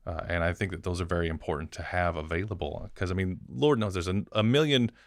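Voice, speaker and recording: A man's voice: low voice, fast, no room sound, studio quality. An American man co-hosting a conversational podcast interview. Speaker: podcast co-host.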